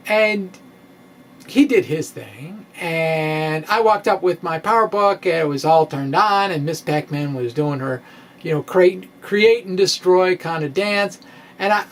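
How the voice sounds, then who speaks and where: A middle-aged man gives an informal, theatrical science monologue in a halting, quirky storytelling manner. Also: high voice. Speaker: science vlogger, amateur physicist. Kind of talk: informal dramatic blog reading, explanatory monologue. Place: indoor room with bookshelves. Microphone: microphone on stand, close in front.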